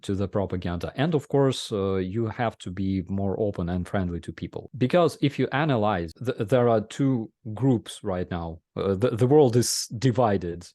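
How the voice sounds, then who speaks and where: A man from Ukraine, speaking English with a Ukrainian accent, answering interview questions in a halting way with many fillers and restarts. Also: fairly low pitch, expressive, warm tone, no room sound, clean studio sound. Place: remote video call.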